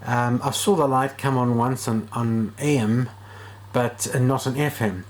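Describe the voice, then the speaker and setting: A man's voice: mid-range voice, steady tone, unhurried. A man talking casually and thinking aloud as he does a hands-on repair vlog. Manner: informal, thinking aloud while working. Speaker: video host and radio repair hobbyist. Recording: clear background noise.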